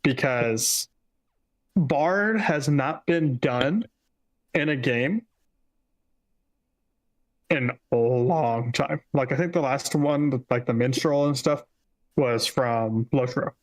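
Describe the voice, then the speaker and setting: A man's voice: mid-range voice, slow pace, long pauses. A man with a mid-range voice gives casual commentary on games. He sounds opinionated, stressing his points as a co-host on a stream. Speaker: gaming show co-host.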